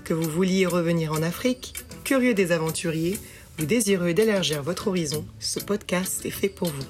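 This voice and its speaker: A woman's voice: on the low side, normal speed, soft background music. A woman in her thirties hosting a podcast interview, easygoing and hesitant with frequent fillers in conversation. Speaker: podcast host. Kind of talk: scripted podcast intro, then casual interview chat.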